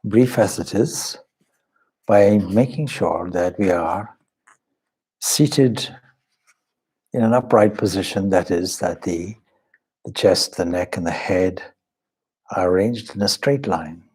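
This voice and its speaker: A man leading a guided meditation as a teacher, speaking in measured, deliberate short phrases with pauses and a soft, husky voice. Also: low voice, rough recording. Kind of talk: guided meditation, slow spoken instruction.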